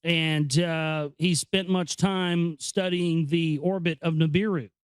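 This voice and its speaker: A man hosting an informal read-aloud, explaining and commenting in a halting, conversational way. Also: fairly high pitch, fairly even intonation, clear, resonant tone, dry recording. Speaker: host reading a book aloud with commentary. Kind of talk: informal reading with off-the-cuff commentary.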